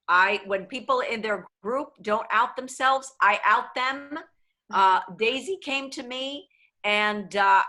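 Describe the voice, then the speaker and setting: A woman who coaches actors on diction and accent, chatting casually with her class on a video call and slipping into Spanish now and then. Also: medium pitch. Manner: warm, switches between English and Spanish. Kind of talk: casual workshop chat.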